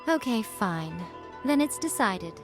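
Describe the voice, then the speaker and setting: A woman's voice: mid-range voice, sing-song, slow. A woman playing a sly, aloof fox-spirit shrine priestess in a video game, with a languid, teasing and condescending manner. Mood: breezy, decisive. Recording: music playing behind the voice.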